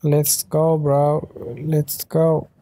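A man's voice: a little high, monotone, very slow, dark tone, no echo. A sleepy man, not a native English speaker, casually commentating a mobile game pack opening while trying out a put-on British accent. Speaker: mobile game channel host.

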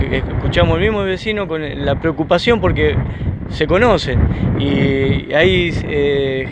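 A man in his thirties with an Argentine accent, a councillor answering in a measured way with many fillers, outdoors. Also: fairly high pitch. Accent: Argentine Spanish. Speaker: city councillor. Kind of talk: outdoor political interview. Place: open field by a paved street, outdoors. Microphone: camera at close range. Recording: outdoor wind and traffic noise.

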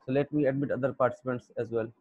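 A young Pakistani man with a Pakistani accent, lecturing an online English class in a halting way with many fillers. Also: medium pitch, steady tone, husky, no room sound. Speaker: English teacher. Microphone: computer mic on a video call. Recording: dull lo-fi video call audio.

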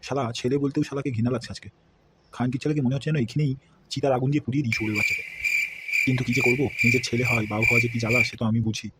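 A man's voice: mid-range voice, little pitch movement, very fast, very noisy, natural voice. A man voicing stern, scolding village elders and a headman in a comedy cartoon. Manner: scolding, grave and abusive. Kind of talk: Bengali comedy cartoon dubbing. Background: background music.

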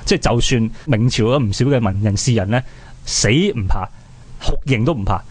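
A man's voice: medium pitch, normal speed, darkish tone, husky. A man with a Hong Kong Cantonese accent, giving conversational history commentary as a radio co-presenter.

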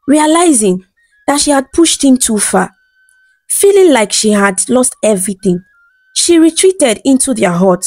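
A woman with a fairly high voice tells a folktale from a script, measured and dramatic in her delivery. The recording is dry and close, with soft music behind her. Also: no echo, low-quality recording. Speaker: storyteller.

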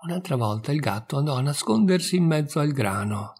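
A man in his sixties from Italy, reading a fairy tale aloud slowly and expressively. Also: on the high side, breathy edge. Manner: slow, measured, expressive. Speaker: storyteller.

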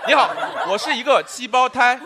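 A man in his thirties performing a stand-up comedy duo routine on a large studio stage, animated, making mouth sound effects. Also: very high voice, moderate pace, heavy background noise.

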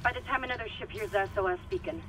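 A woman's voice: mid-range voice, very fast, a bit bright, a little hiss or hum, lightly acted, poor audio. An actress playing an urgent young woman technician in a dramatic science fiction game.

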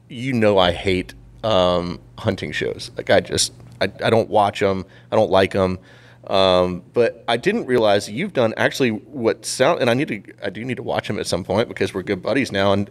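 A man hosting a podcast in casual chat, speaking informally and haltingly, often repeating phrases. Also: fairly low pitch. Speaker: podcast host.

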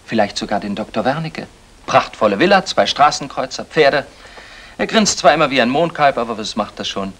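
An actor playing a sarcastic, pedantic husband who needles drily, in the style of a 1960s German radio play. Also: male voice, mid-range voice, expressive, fairly quick, a bit bright. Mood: bitter, sarcastic. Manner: dry, sardonic, needling. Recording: noisy old radio play recording.

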